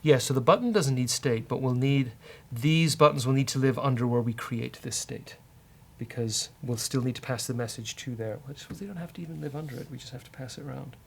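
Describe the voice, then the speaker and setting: Male coding co-host, gravelly mid-pitched voice, thinks aloud haltingly over keyboard clatter. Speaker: co-host coding on a software show. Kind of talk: casual live coding commentary, thinking aloud.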